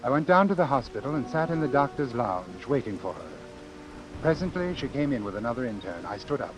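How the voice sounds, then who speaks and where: A man's voice: mid-range voice, sing-song, fairly quick, natural voice, rough recording. Coldly precise doctor played by a man. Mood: coolly reflective. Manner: precise, formal, clinical diction. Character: coldly calculating, articulate male doctor, morbid and imperious. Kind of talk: vintage radio suspense acting and narration.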